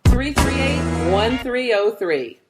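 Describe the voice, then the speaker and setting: A woman's voice: fairly high pitch, lively intonation, takes it slowly, over loud music. A woman reading a scripted radio network promo as its announcer.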